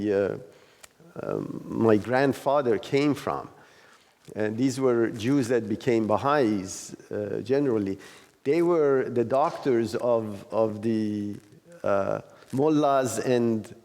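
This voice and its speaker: A man facilitating a class discussion, explaining informally and haltingly as he searches for words. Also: fairly low pitch, a little mellow. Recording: reverberant hall.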